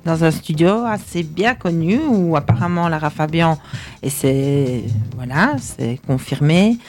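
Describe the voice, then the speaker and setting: A young woman, a singer chatting in an interview and pausing often with fillers. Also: low-pitched, expressive, a little mellow. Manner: chatty, hesitant with many fillers. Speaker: singer guest. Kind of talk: casual interview chat.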